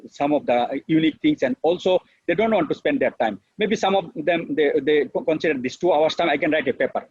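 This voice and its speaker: A man's voice: on the high side, brisk, darkish tone, breathy edge, no room sound. A middle-aged man from Bangladesh with a Bangladeshi accent, giving an earnest, unscripted webinar lecture full of fillers. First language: Bengali. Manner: earnest, repetitive, with frequent fillers. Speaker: professor giving a webinar talk. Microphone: video call. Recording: dull, lo-fi online call audio.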